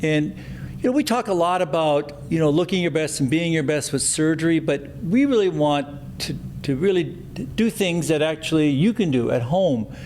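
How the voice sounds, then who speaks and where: A man's voice: a little high, expressive, normal speed, a little mellow, slight background noise. A middle-aged man, a plastic surgeon hosting his podcast, chatty and enthusiastic with frequent restarts. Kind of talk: podcast interview.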